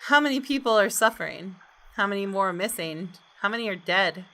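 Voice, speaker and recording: A woman's voice: mid-range voice, animated, fairly quick, sharp tone. A woman hosting a gaming video, reading visual novel narration aloud with dramatic expression.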